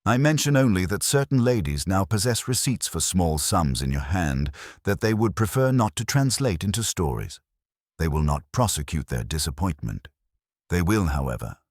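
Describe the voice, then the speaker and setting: A man reading a courteous, quietly firm police inspector in an audiobook, polite, understated and formal. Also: sits low, animated, normal speed, no room sound, clean studio sound.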